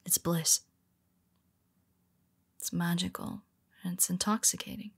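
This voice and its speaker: A woman playing a husky, whispering superhero. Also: on the low side, steady tone, measured pace, no echo. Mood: tender, confessional. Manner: hesitant and soft-spoken, with many restarts. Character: tender, nervous young female superhero. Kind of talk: audio roleplay for a listener.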